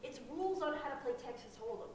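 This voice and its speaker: An exasperated, sarcastic interviewer played by a woman in a comic student play, straining to stay polite. The high voice carries from a distance through a very echoey hall. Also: expressive, fast, background noise. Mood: strained politeness. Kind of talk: comedic student stage play acting. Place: theater hall, recorded from audience distance.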